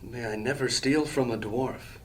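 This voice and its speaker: A man's voice: medium pitch, fairly even intonation, a little slow, a little hiss or hum, lightly acted, low-quality recording. An actor playing a formal, proud male leader of a thieves' gang in an audio drama, speaking ceremoniously.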